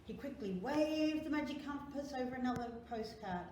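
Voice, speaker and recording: A woman reading a children's picture book aloud in an expressive, dramatic storytelling manner, drawing out key words. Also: high voice, normal speed. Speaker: library storytime reader. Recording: very echoey room, faint noise.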